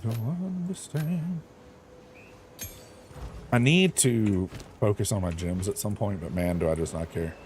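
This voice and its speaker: A man's voice: on the low side, measured pace, pauses often, a little mellow. A man streaming a game from home with a crackly voice, rambling and thinking aloud with lots of fillers and self-corrections. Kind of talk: casual chat while gaming.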